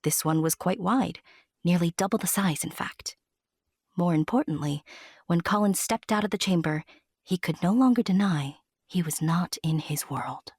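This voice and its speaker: A woman's voice: on the low side, moderate pace, breathy edge, no echo, studio quality. A woman narrating a scripted fantasy audio drama like a storybook, with chatty asides to the listener. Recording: loud music under the narration. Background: music.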